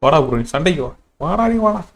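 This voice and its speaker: Rapid-fire Tamil-accented male game streamer. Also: on the high side, lively intonation, gravelly. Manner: loud, brief interjections over the game. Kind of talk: casual live gameplay reaction.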